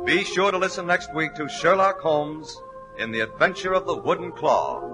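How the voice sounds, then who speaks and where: A man's voice: a little high, expressive, moderate pace, loud music behind the voice, rough recording. A man with an American accent announcing in scripted 1940s radio style, polished and emphatic. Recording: old 1940s radio recording.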